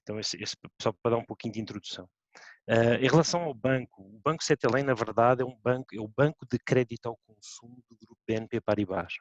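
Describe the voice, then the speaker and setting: A middle-aged man with a European Portuguese accent speaks on a video call and halts often on fillers. His voice sits at a middle pitch in a dry, close room. The sound is a bit dull and lo-fi. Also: quick pace, no echo. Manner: hesitant, many fillers and restarts. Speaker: bank executive. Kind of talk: panel discussion.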